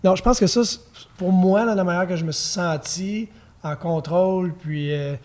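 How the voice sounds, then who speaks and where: A man in his thirties with a Quebec French accent, a former pitcher chatting as a podcast guest, telling stories easily with chuckles and fillers. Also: normal speed. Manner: relaxed storytelling with fillers and chuckles. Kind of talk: casual podcast conversation.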